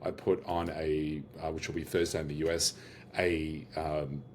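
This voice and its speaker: Low-voiced aussie guy doing a casual software demo, lots of uhs and restarts. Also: little pitch movement, moderate pace, slight background noise. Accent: Australian. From Australia. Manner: casual, with frequent restarts and filler words. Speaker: host of AI workshops and business owner. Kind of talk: screen-share product demo.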